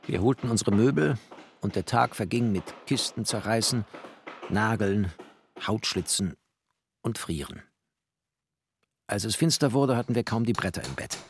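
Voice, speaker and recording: An actor playing a wry, bookish narrator, a poor postwar settler, in literary first-person narration. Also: male voice, fairly low pitch, expressive, moderate pace, pauses often, a little hiss or hum. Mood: wry, matter-of-fact. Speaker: narrator of a literary radio play.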